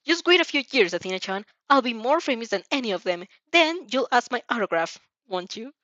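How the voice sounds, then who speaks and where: A woman's voice: on the high side, sing-song, fairly quick, pushed and bright, no echo, lo-fi sound. A woman voicing an eager, clingy teenage boy with a whiny, declamatory manner in a story reading. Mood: whiny, dramatic. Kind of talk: animated fan fiction character reading.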